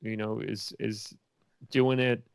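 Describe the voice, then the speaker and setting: A man's voice: lowish voice, level tone, slow, vocal fry, clean tone, no room sound. A man chatting casually as an interview guest, informal and buddy-like, often repeating words for emphasis. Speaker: podcast guest, independent filmmaker.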